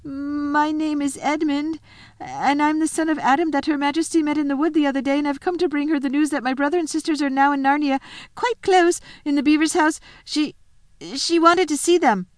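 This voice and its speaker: Woman as a high, nervous pleading boy. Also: big pitch swings, fairly quick, no room sound. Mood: trembling. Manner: breathless, pleading, stammering. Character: nervous, eager schoolboy.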